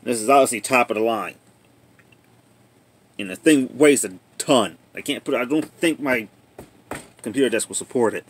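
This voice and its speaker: A man giving casual, plainspoken commentary on a handheld video, thinking aloud in a halting way as a hobbyist showing a repair. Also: medium pitch, slightly rough. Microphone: handheld phone or camera.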